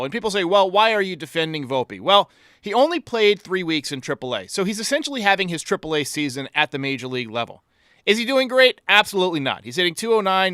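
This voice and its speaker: Male fan-podcast host, sports commentary. Crisp, higher-than-average voice, blunt and sarcastic. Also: bright, forceful tone, dry recording, studio quality.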